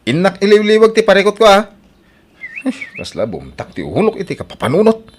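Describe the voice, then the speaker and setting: A man's voice: high-pitched, wildly swooping pitch, a little fast, heavily acted. A man playing a troubled, self-pitying husband who drinks, complaining glumly in an audio drama with an Ilocano accent. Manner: glum, complaining.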